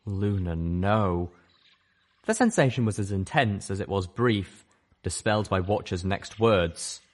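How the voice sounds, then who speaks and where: Expressive low-voiced male storyteller. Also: measured pace. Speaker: audiobook reader. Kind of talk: first-person scripted narration.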